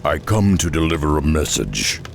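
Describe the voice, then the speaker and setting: An actor playing a world-weary, brooding half-orc, half-ogre warrior in a video game, speaking slowly and solemnly in a gravelly voice. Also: male voice, a bass voice, sing-song, heavily acted. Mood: grave, respectful. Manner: brooding, solemn, slow-spoken. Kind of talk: video game cutscene acting. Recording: music behind the voice.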